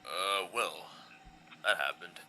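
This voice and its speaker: A man in a fan audio drama playing a gentle, weary, laid-back male skeleton, speaking in a hesitant, casual, understated way. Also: sits low, takes it slowly, background noise. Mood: warm, awkward.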